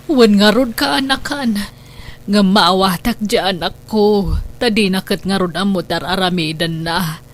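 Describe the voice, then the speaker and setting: A woman's voice: medium pitch, measured pace, close to an everyday voice. Woman playing a worried old grandmother, plaintive. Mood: worried, pleading. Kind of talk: radio drama acting.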